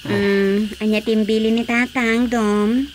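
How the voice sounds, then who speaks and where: An actress playing an everyday wife at home in a radio drama, with an Ilocano accent. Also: female voice, a little high, fairly even intonation, slow pace. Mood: conversational. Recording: faint cricket noise.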